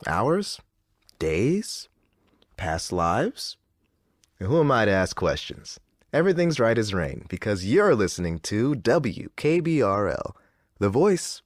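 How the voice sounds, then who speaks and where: A man playing a wry, unsettled game radio DJ with theatrical, smooth-talking patter. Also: medium pitch, wildly swooping pitch, a little slow, no echo, clean studio sound, full character voice. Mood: musing.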